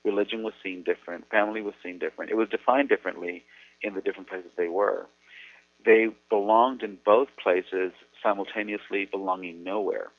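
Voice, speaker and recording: A Mexican American man, an educator, explaining things earnestly in a measured way with thoughtful pauses. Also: lowish voice, fairly even intonation, no room sound. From United States. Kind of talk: explanatory talk on radio. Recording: tinny phone-like radio audio.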